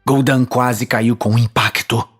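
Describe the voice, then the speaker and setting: Male narrator, Brazilian, for a fantasy audio series; dramatic scripted read, husky mid-range voice. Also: mid-range voice, steady tone, measured pace, fairly bright tone, soft background music.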